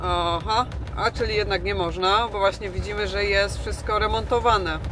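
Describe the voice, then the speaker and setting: A woman's voice: mid-range voice, steady tone, moderate pace. A woman chatting casually as a travel vlogger, rambling along in colloquial speech. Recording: loud vehicle and outdoor noise.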